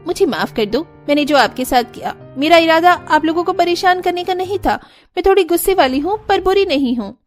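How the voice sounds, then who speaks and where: A woman's voice: very high voice, expressive, a little fast, faint music behind. A woman voicing a wealthy, hot-tempered but kind lady employer in a cartoon story, earnest and apologetic.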